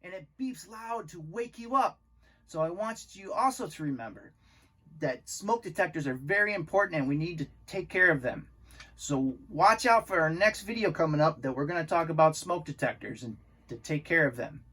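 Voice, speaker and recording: A man's voice: on the high side, expressive, normal speed, sharp tone. A man, a fire chief, hosting a children's story time and explaining things simply with questions and answers.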